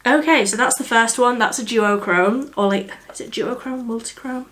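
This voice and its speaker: A young woman with a slightly croaky voice from a cold, chatting casually through a makeup tutorial as a beauty vlogger. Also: fairly high pitch, normal speed, a bit bright. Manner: chatty, easygoing, thinking aloud.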